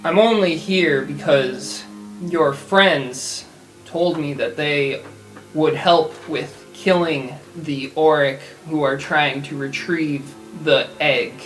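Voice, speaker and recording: A man playing a laconic, guarded mercenary assassin in a tabletop game, with a deadpan manner. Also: fairly high pitch, slow, faint music behind, poor audio. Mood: defensive, matter-of-fact. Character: laconic mercenary assassin who enjoys killing.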